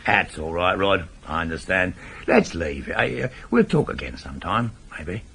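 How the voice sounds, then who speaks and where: Wily older burglar boss, lively low voice. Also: male voice, normal speed, creaky, full character voice. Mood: cool, measured. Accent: English. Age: middle-aged. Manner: instructive, insistent, repeats himself for emphasis. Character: wily, controlling veteran burglar, father running a small gang. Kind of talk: naturalistic 1980s radio drama acting. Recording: noisy 1980s radio drama broadcast recording.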